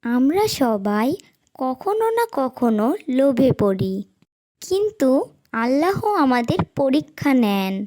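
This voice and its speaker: A very high, slow-paced little girl played by a woman speaks in a children's moral cartoon. She is gentle, devout and honest, placing each phrase with polite, earnest care. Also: big pitch swings, exaggerated voice. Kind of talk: Islamic moral cartoon voice acting.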